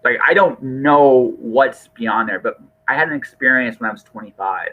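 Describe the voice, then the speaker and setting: A man's voice: medium pitch, normal speed, phone-quality sound. A man talking casually as a podcast host, earnest and rambling, often restarting his phrases.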